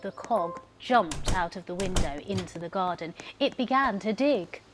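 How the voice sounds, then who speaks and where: A woman's voice: lowish voice, lively intonation, moderate pace. A woman professional storyteller narrating a children's story expressively, leaning into the sound words. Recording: music behind the voice. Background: music.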